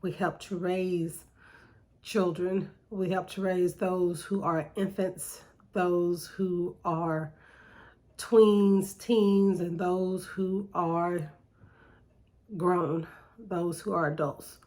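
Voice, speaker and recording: Woman, middle-aged, slightly nasal, low voice, unhurried delivery, reflective poet. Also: steady tone, dark tone. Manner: slow and deliberate, with pauses and fillers. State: slightly nasal from seasonal allergies. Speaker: poet hosting her own segment. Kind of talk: reflective spoken monologue with poetry reading.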